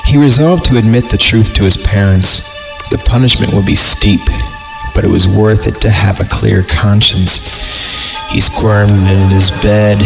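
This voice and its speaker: Man, American accent, lowish voice, unhurried delivery, irreverent story reader, background music. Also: vocal fry. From Fresno, California. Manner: irreverent, jokey. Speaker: podcast host reading horror stories aloud. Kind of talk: mock-dramatic story reading with casual joking asides. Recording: music bed behind the voice.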